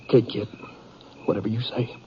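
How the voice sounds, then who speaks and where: An actor playing a plain-spoken, compliant outlaw brother in a 1950s radio western, with rustic American speech. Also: male voice, on the low side, level tone, brisk, mellow, lightly acted. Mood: uneasy. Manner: rustic, folksy phrasing. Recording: dull, noisy old radio recording.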